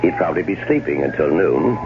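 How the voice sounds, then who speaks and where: A man's voice: sits low, sing-song, quick pace, music in the background. An actor playing a scheming, sardonic middle-aged American man in a radio drama, on a muffled, noisy old broadcast. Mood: smug, wry. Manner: sardonic, theatrical. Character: scheming, wry, well-off man plotting murder. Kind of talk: 1970s radio drama acting, first-person narration and dialogue.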